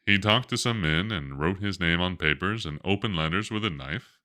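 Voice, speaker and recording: A man reading a bedtime story aloud in an animated, expressive storybook style. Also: low voice, normal speed.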